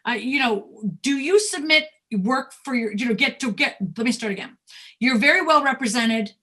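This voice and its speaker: A woman's voice: high-pitched, expressive, normal speed, bright, forceful tone. A woman interviewing in a conversational style, asking questions and recapping to check that she has understood. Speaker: interviewer, acting talent manager.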